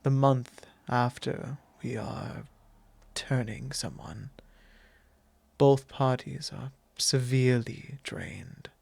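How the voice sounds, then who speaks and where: A man playing a courteous, confiding vampire lord in a soft, intimate ASMR roleplay, speaking solemnly and tenderly in measured, formal, hesitant phrases close to the microphone. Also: medium pitch, creaky, breathy edge, no echo, full character voice.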